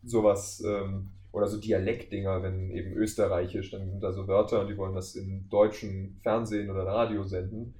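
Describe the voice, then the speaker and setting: A man's voice: low-pitched, little pitch movement, fairly quick, few pauses, dark tone. A man with a German accent chatting casually as a podcast guest, telling work anecdotes in an informal way. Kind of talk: casual interview chat with anecdotes. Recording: roomy echo, faint background noise.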